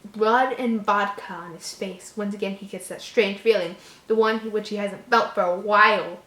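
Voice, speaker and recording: A woman's voice: medium pitch, fairly even intonation, moderate pace, sharp tone, roomy sound, poor audio. A woman reading a horror story aloud casually, giggly and reactive, breaking off to joke and comment.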